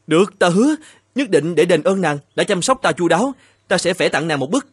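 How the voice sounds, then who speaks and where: A man voicing an earnest, evasive married painter in an animated folktale, with a polite and hesitant manner. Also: high-pitched, fairly quick, husky, no room sound. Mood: courteous.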